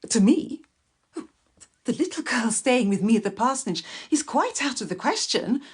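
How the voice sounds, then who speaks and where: An actress playing a bossy, self-righteous middle-aged English aunt in a period radio drama, officious and wordy. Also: female voice, a little high, sing-song, normal speed, breathy edge. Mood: officious, insistent.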